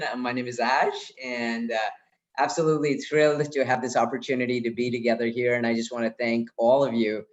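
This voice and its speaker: A man with a mid-pitched voice welcomes a group on a video call, effusive and enthusiastic, with frequent fillers. The audio is a bit dull. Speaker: senior mentor.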